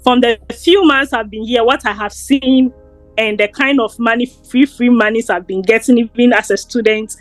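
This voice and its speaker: A woman's voice: fairly high pitch, normal speed, no room sound, faint music behind. A young Ghanaian woman with a Ghanaian accent, talking earnestly as an interview guest, often repeating words. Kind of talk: casual interview.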